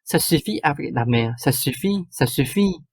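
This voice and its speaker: A man's voice: fairly high pitch, lively intonation, moderate pace, a little mellow, husky. A man playing a bossy, short-tempered stepmother in a radio drama, curt and lecturing. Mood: angry, menacing. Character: domineering, short-tempered stepmother laying down the law. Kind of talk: stage-like radio drama acting.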